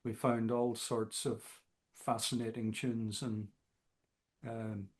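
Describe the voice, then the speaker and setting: A man talking as a guest musician in a casual interview, halting often with fillers. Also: mid-range voice, level tone. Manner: slow, halting, many fillers.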